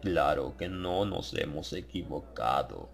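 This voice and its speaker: A man voicing a menacing cult brother in a horror audiobook, with a hard bass, slow solemn delivery and false warmth. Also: lively intonation, creaky, full character voice. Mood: ominous reverence.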